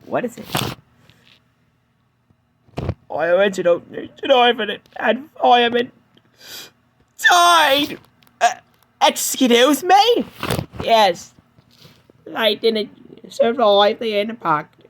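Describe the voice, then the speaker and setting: Guy voicing a touchy cartoon steam engine, high and shaky, voice jumps all over the place. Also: sing-song, extremely slow, long pauses, slight background noise, heavily acted. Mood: distressed. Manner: shaky, high-strung, bursts into yelling. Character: proud red male steam engine, touchy and hurt. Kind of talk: fan-made cartoon dub.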